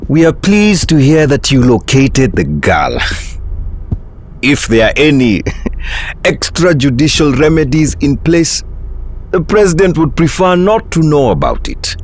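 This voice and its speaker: A sly, corrupt government lawyer in a motion comic. His fairly high voice swings wildly in pitch as he insinuates formally and slowly, over faint noise. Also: big pitch swings. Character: smooth-talking, corrupt male attorney general, sly and formal. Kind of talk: motion comic character acting. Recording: faint background noise and ambience.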